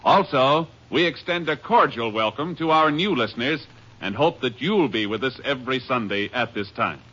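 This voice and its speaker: A man's voice: medium pitch, expressive, moderate pace, bright, forceful tone, slightly rough. A man with an American accent making formal, polished, scripted announcements in 1950s radio style. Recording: muffled old radio recording.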